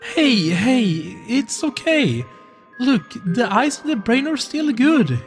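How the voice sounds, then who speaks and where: A woman's voice: a little high, big pitch swings, slow, soft background music. A woman voicing an easygoing, affectionate dog husband in a visual novel, with a soothing, casual, coaxing manner. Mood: tender, comforting. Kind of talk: visual novel character acting.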